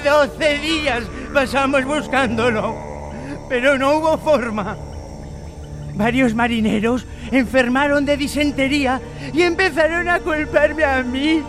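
High-pitched, halting marooned old pirate. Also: male voice. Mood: bitter storytelling. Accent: Castilian Spanish. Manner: halting, repeats words, excitable. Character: marooned old pirate castaway, wary, eager, pleading. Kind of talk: Spanish radio drama acting. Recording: old radio drama with music bed.

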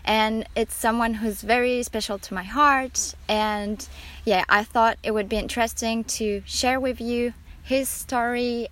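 A woman's voice: mid-range voice, fairly bright tone. A woman hosting her own podcast, opening the episode and introducing her guest in an upbeat, gushing way. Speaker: podcast host.

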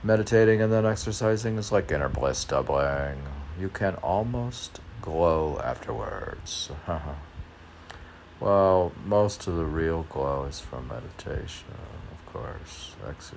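Male, very low voice with heavy creak, rambling poet-podcaster mixing reading and asides, faint background noise. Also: expressive, slow, a little mellow. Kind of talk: reading poems with rambling off-the-cuff commentary.

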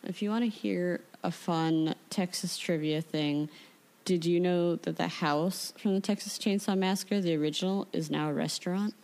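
A woman's voice: on the low side, steady tone, moderate pace, darkish tone, rough recording. An American woman hosting a casual interview, informal and joking in how she talks. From United States, Illinois. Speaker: podcast host.

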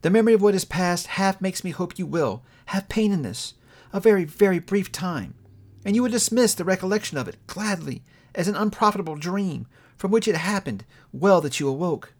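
Man voicing a gentle, sorrowful young woman, high-pitched. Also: expressive. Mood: resigned, tender. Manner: soft, earnest, measured. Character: fair young woman, gentle, sorrowful, steadfast, releasing her betrothed. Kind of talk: dramatic storybook reading.

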